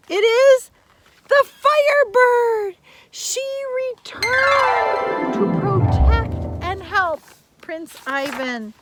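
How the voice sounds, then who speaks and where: An older woman reading a children's story dramatically, with emphatic drawn-out words and chatty asides to her listeners. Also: very high voice, a bit bright, slight background noise. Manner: theatrical, emphatic, drawn-out adjectives. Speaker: teacher reading stories to children.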